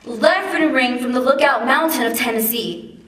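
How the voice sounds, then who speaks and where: A young woman, a student, giving a declamatory, measured dramatic reading of a famous speech. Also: on the high side, a bit bright. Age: young adult. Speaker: student reader. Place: large echoey room at a public event. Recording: echoey hall, far from the mic.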